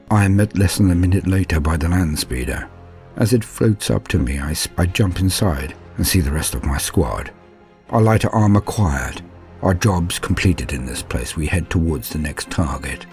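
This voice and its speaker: A man reading a dark war story as scripted narration, grim and measured, often dropping to a hush. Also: very deep voice. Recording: faint music underneath.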